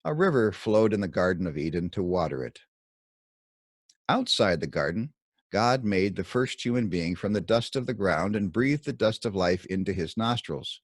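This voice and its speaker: A man reading his own scripted Bible commentary as narration, in measured, deliberate short phrases. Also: sits low, expressive, dry recording, studio quality.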